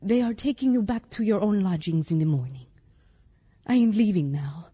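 Actress as bitter foreign wife, husky and soft-toned. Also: female voice, medium pitch, expressive, highs cut off. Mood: bitter, resigned. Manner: formal, deliberate phrasing. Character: long-suffering foreign wife, bitter, frank, coolly scornful. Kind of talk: audio drama acting.

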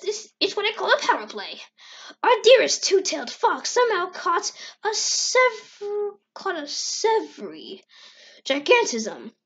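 A high, grandiose cartoon villain scientist played by a woman, theatrical and mocking. The amateur comic dub sounds dull and lo-fi. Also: a bit bright, full character voice. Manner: pompous, grandiose.

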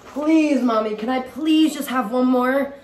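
A woman voicing an excitable, pink-obsessed little girl from a storybook, exclaiming and pleading in a whiny, drawn-out way. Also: sits high, pitched above the performer's own voice. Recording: roomy echo, noticeable background noise.

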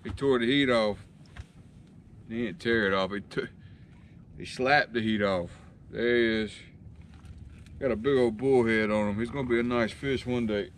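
A man's voice: mid-range voice, moderate pace, pauses often. A tired man with a drawl, talking in a folksy, teasing way to the camera and to the fish he catches while camping and fishing. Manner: drawling, folksy, talks to the fish and teases them. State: tired and sleepy. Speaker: fishing vlogger. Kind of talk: casual running talk to camera while fishing. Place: outdoors on a lake at night, camping. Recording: noisy outdoor lake recording. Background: lake and outdoor ambience.

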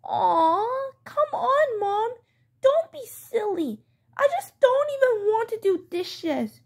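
A woman voicing a whiny, defiant young daughter in petulant, drawn-out protests, in a homemade pretend-play skit. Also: very high-pitched, sing-song, voice pushed up, full character voice.

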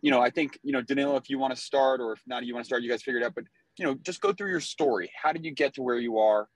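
A man's voice: medium pitch, very fast, no room sound, rough recording. A man hosting a webinar and introducing the speakers in a loose, chatty way from a moving car. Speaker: webinar host. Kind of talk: casual introduction. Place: car driving through a city.

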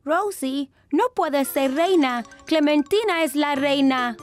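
High-pitched, earnest little cartoon boy. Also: slow pace, faint music behind. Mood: bossy, playful. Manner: childlike, earnest. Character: cheerful, eager four-year-old boy. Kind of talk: animated children's cartoon dub.

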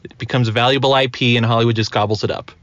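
A man's voice: medium pitch, steady tone, rapid-fire, a bit bright, dry recording, lo-fi sound. A man hosting a casual podcast chat, asking questions in a teasing, joking way. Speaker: podcast host.

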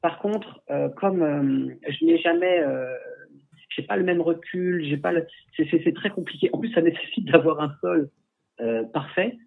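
A man's voice: fairly high pitch, lively intonation, measured pace. A male photographer chatting on a livestream, answering viewer questions with many hesitations and restarts, speaking through a headset. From France. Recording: husky, tinny call audio.

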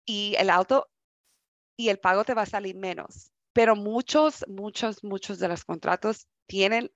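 A woman's voice: mid-range voice, a little slow, dry recording. A woman, an English speaker, presents a financial workshop over a video call. Her delivery is conversational, full of restarts and English words. The sound is dry and a little dull.

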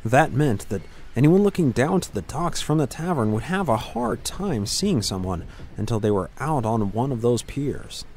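A man narrating scripted fantasy fiction in a measured, dramatic storytelling manner with deliberate pauses. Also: mid-range voice, big pitch swings, darkish tone, a little hiss or hum.

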